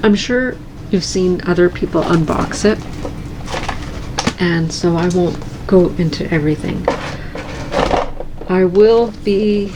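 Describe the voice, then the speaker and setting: A woman chatting casually and calmly in her cross-stitch vlog at home, soft-spoken and unhurried. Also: on the low side, background noise. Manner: calm, slow and soft-spoken. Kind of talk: casual chat.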